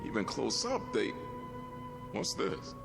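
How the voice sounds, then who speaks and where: An actor playing a chatty, easily impressed meter reader in a radio drama, folksy, jovial and exclamatory. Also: male voice, on the low side, wildly swooping pitch, slow, gravelly, full character voice. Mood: jovial, curious. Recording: music under the voice.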